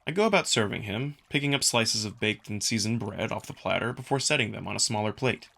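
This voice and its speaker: A man's voice: fairly low pitch, expressive, a little fast, creaky, pushed and bright. A man reading scripted first-person narration for a visual novel as the narrator.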